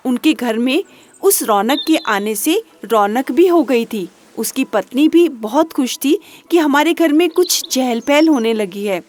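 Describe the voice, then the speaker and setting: A woman's voice: high voice, animated, a little slow, darkish tone, slight background noise. A woman narrating a children's moral story in a simple storytelling manner.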